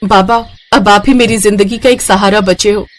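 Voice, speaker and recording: A woman's voice: mid-range voice, steady tone, normal speed, close to an everyday voice. A woman voicing a young married daughter-in-law, distressed and pleading, in a cartoon moral story.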